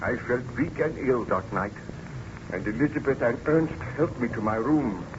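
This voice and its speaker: A man's voice: mid-range voice, expressive, moderate pace, close to an everyday voice. An actor playing an anguished baron scientist haunted by his creation, in formal, melodramatic 1930s radio drama style. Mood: somber recollection. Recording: muffled, noisy old radio recording.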